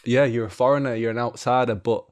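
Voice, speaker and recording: A man's voice: mid-range voice, steady tone, quick pace, a little mellow. A man, a podcast guest telling his life story in loose, candid, casual talk. He swears freely and fills his speech with "you know" and "like". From England.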